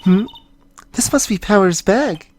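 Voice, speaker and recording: A woman's voice: mid-range voice, animated, a little slow, a little mellow, a little hiss or hum, close to an everyday voice. A woman voicing an eager, cheeky teenage girl sidekick, playful and curious, as a video game character.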